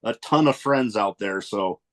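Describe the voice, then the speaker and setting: A man's voice: on the low side, a little slow. A man hosting a podcast, an easygoing Navy veteran who chats about his travels and agrees readily with his guest. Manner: easygoing, agreeable, brief acknowledgements. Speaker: podcast host. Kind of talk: casual podcast chat.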